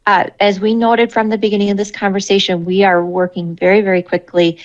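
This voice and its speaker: A woman city official giving a measured, formal update in a public meeting, heard through lo-fi call audio. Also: mid-range voice, level tone.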